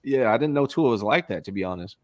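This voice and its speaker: A man's voice: medium pitch, animated, fast talker, a little mellow, no room sound. A man hosting a sports call-in show, easygoing and affirming with callers. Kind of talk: casual sports talk.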